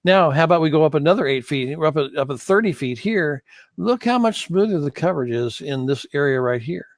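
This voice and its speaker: A man giving an unscripted, explanatory lesson as an audio instructor, speaking haltingly with frequent pauses. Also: fairly high pitch, animated, dry recording.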